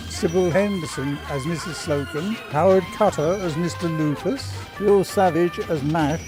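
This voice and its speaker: Music plays clearly behind a male announcer. He reads cast credits for a radio play in a voice pitched a little high.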